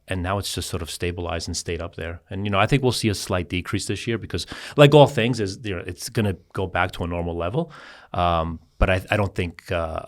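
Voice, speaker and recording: Business guest from Greece, man, deep voice, quick rambling delivery with restarts. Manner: rambling, repeats words, quick asides. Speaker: scooter dealership chief executive, guest. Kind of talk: casual podcast interview.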